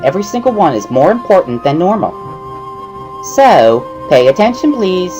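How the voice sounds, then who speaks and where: A woman's voice: fairly low pitch, big pitch swings, normal speed, over music, voice pushed up, low-quality recording. A woman voicing a bubbly, pushy schoolgirl tutor with perky, giggly, emphatic speech in a visual novel reading. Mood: earnest. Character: bubbly, pushy schoolgirl, earnest amateur sign language tutor.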